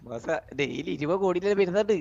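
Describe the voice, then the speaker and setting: A man's voice: on the high side, animated, a little fast, gravelly, a little mellow, no room sound, muffled recording. A man playing a henchman in game roleplay, interjecting and repeating himself. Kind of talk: improvised in-game roleplay banter.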